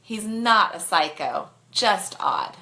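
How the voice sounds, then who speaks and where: A woman narrates a bedtime story for listeners online. Her voice is bright and clear in its projection, at a middle pitch, and her reading is expressive. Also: slow pace. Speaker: author reading to online viewers.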